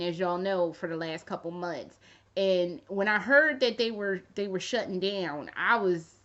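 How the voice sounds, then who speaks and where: A woman's voice: medium pitch, pushed and bright. A woman in her thirties chatting casually to camera as a fashion vlogger, rambling and swearing freely with jokey asides. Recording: dull lo-fi recording.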